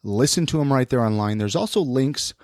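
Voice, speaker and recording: An American man hosts a podcast with a lively, swinging delivery. He sounds a bit plugged up with a head cold. The recording is close and dry, and he talks casually, as if chatting between sponsor reads. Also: mid-range voice, brisk, no echo. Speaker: podcast host.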